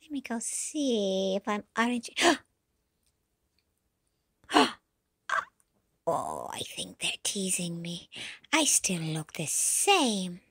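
A woman voicing a cheerful, childlike green dinosaur in pretend play, with a high, squeaky, sing-song voice, gasping, exclaiming and now and then dropping to a whisper. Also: big pitch swings, slow, a bit bright, dry recording, studio quality, heavily acted. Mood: unsure. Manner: gasping and exclaiming, asks questions, drops to whispers.

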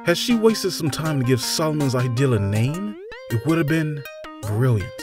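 A man narrating a scripted fantasy story in a measured, deliberate, theatrical storytelling manner. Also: a little high, animated. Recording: music behind the voice. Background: background music.

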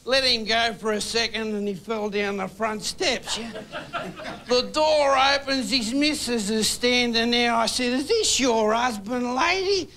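Older yarn-spinning comic, high gravelly voice, bright edge, keeps saying "you know". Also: male voice, measured pace, a little hiss or hum. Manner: folksy, repeats "you know". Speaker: stand-up comedian. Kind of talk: rambling storytelling stand-up. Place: TV studio stage. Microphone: handheld microphone.

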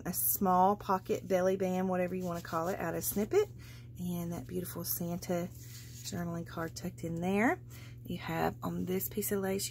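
A woman's voice: fairly low pitch, a little hiss or hum. A woman casually narrating a flip-through of her handmade journal as a craft seller, chatty and gushing, with many fillers. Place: indoors at a craft table. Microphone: overhead camera, speaker off-screen.

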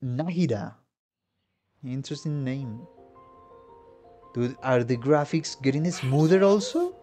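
A man's voice: mid-range voice, animated, slow, mellow. A man giving casual, exclamatory, slangy reaction commentary as a musician watching game trailers. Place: home room with instruments. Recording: game music playing behind the voice.

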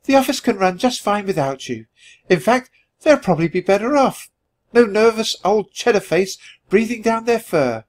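A man's voice: high voice, lively intonation, moderate pace, voice pushed up. A man voicing a sassy, scheming female mouse reporter in a storybook, with a teasing, dismissive manner. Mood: mocking.

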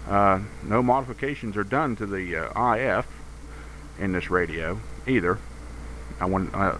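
A man with a fairly low voice explains his gear in an informal, unscripted way, feeling his way through the explanation. It is a noisy home video, with radio hiss clearly heard around him. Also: a bit bright. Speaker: amateur radio hobbyist. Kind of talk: informal hands-on equipment demonstration.

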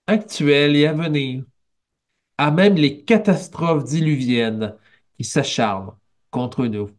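Slow, measured Québécois preacher man. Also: on the high side, darkish tone. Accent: Québécois French. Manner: slow, measured preaching with pauses and rising emphasis. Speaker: pastor. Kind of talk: sermon. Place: small office at home. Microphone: headset on a video call.

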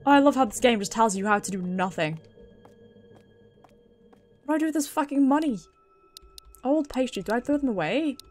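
High-pitched British woman streaming a game. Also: expressive, fairly quick, pauses often, soft background music. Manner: sweary, reacting aloud, thinking out loud. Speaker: livestreamer playing a game. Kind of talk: casual horror game commentary.

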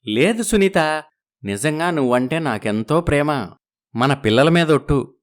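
Guy doing a young husband, soothing and evasive, bit higher voice. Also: lively intonation, unhurried. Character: young husband torn between wives, evasive.

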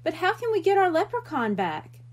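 A woman's voice: very high voice, huge pitch swings, moderate pace, pitched above the performer's own voice, exaggerated voice. A woman voicing a concerned, questioning little girl from a children's storybook.

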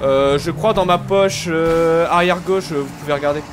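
A man's voice: a little high, moderate pace, noisy background. A young man casually improvising in a role-play game as a streamer, insistent and repeating himself.

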